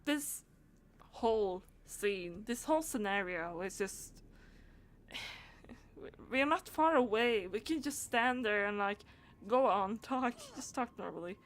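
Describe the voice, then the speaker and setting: A woman's voice: mid-range voice, lively intonation, takes it slowly. A woman doing casual live game commentary, rambling and giggly as she reacts aloud to what happens on screen. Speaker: game streamer.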